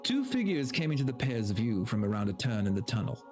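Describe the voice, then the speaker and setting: A man's voice: mid-range voice, wildly swooping pitch, a little fast, darkish tone. A man streaming, chatting in a rambling, informal way that is loose with swearing, and reading a story aloud. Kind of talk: casual livestream chat and dramatic story reading. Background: background game music.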